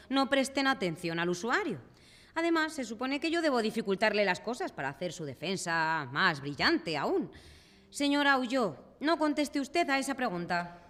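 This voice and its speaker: Shrill, imperious, exasperated judge. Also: female voice. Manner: commanding, shouting orders, impatient. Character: exasperated, imperious female judge in farcical courtroom. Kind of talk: comic courtroom radio drama acting. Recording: reverberant hall, live stage recording.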